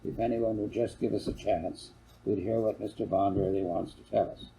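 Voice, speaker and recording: A man's voice: fairly low pitch, steady tone, normal speed, dark tone, close to an everyday voice, low-quality recording. A man voicing a plain-spoken, skeptical farmer father with a blunt, firm manner in a storybook. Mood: important.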